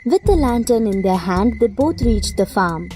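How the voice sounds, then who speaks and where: A woman's voice: fairly high pitch, expressive, moderate pace, dark tone. A woman reading scripted children's story narration as a storyteller. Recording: music clearly behind the voice.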